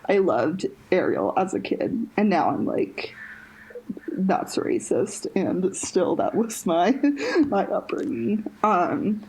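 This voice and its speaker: Husky-voiced young woman, halting chat. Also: mid-range voice, big pitch swings, a little mellow, low-quality recording. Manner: halting, frequent restarts and fillers, laughs between phrases. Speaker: podcast co-host. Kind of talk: casual chat.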